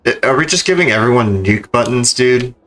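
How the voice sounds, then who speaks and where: A man's voice: medium pitch, lively intonation, brisk, a bit bright. A man commentating casually on a game livestream, swearing freely in blunt, slangy reactions. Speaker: game streamer.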